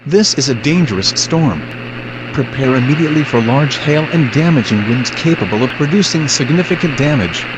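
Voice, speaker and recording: A man's voice: medium pitch, animated, moderate pace, highs cut off. Male alert announcer through heavy noise. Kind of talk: scripted weather warning announcement. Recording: very noisy emergency broadcast.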